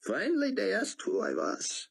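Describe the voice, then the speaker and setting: A man's voice: sits high, huge pitch swings, normal speed, vocal fry, slightly rough, pitched above the performer's own voice, exaggerated voice. A man voicing an older male rabbi, giddy and half-breathless with laughter. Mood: giddy storytelling.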